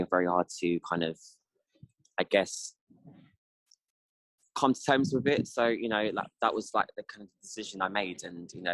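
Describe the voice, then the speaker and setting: A man's voice: low-pitched, vocal fry, a bit bright, no room sound, low-quality recording. A man, answering interview questions in a reflective, casual way, with many fillers. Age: 30s. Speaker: interview guest.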